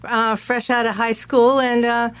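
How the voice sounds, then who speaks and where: A woman in her sixties from Canada, a skating choreographer, telling stories as a talk-show guest with a relaxed, chatty flow. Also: a little high, clear, resonant tone, dry recording. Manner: relaxed, chatty, fills pauses with "um". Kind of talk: casual talk-show storytelling.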